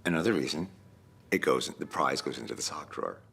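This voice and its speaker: A man's voice: extremely low pitch, animated, brisk, vocal fry, pushed and bright, husky. A man speaking as an author in a documentary interview. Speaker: prize-winning author interviewee.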